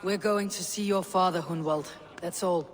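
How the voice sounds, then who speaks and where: A woman's voice: fairly low pitch, fairly even intonation, moderate pace, big-room sound, close to an everyday voice. An actress playing a blunt, impatient Viking warrior woman in a video game, speaking in a commanding way.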